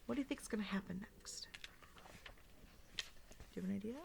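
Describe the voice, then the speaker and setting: A woman's voice: medium pitch, fairly quick, gravelly, noisy background. A woman teacher doing an interactive read-aloud for young children, explaining simply, asking questions and reading expressively.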